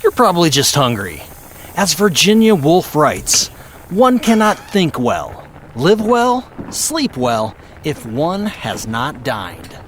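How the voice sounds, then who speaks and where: A man's voice: on the high side, wildly swooping pitch, slow pace, a bit bright, slight background noise, full character voice. A man playing a chatty, doting dad. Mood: soothing. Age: middle-aged. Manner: chatty, upbeat, soothing. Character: doting talkative middle-aged dad, a lawyer. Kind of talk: audio drama acting.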